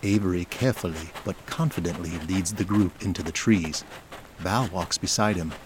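A man reading scripted narration for an audio drama in a measured, descriptive storytelling manner. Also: low voice, noisy background. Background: prominent music.

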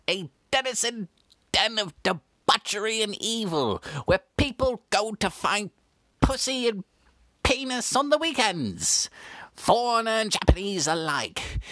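A woman playing a deadpan, falsely formal street reporter in a comedy sketch. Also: medium pitch, dry recording. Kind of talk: comedic mock news report.

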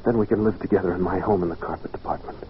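A man's voice: sits low, steady tone, racing, a little hiss or hum, lightly acted. An actor playing an earnest, lovestruck man who hides in a department store, in intense, theatrical old-time radio style. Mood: pleading. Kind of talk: 1940s radio acting, narrated journal and dialogue. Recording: muffled old-time radio recording, music behind.

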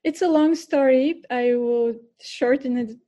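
A woman's voice: sits high, a little slow, warm tone. A middle-aged woman with a Bulgarian accent, a podcast guest telling her story earnestly, with fillers and restarts. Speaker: podcast guest, cooking teacher and restaurant owner. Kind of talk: podcast interview.

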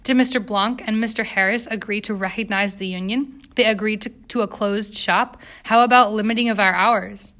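A woman's voice: mid-range voice, fairly even intonation, normal speed, sharp tone, lightly acted. A woman voicing an earnest, determined young immigrant factory girl in an audiobook, with precise English. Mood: excited.

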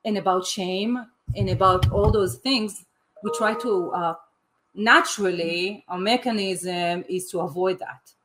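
A woman's voice: mid-range voice, measured pace, background noise. Eager woman coach, native Hebrew speaker. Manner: eager, repeats words, restarts sentences. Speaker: life coach. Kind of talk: casual podcast conversation.

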